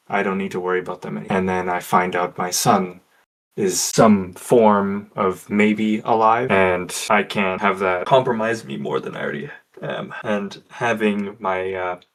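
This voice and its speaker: Man, lowish pitch, tabletop roleplayer, improvised in-character speech, deliberate and halting delivery. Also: poor audio. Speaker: player in a tabletop roleplaying game.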